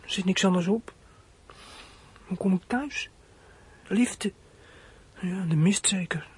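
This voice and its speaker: An actor playing a frightened, scheming fourteen-year-old runaway boy in a radio play, husky and thinking aloud in an anxious, halting way. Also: high-pitched, heavily acted. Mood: hushed, uneasy. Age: teens. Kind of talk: radio play acting, monologue.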